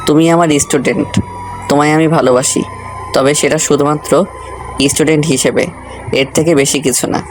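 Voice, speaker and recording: A man playing a calm, strict college teacher in an audio love story, measured and dismissive in manner. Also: fairly high pitch, soft background music, natural voice, rough recording. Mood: calm, firm.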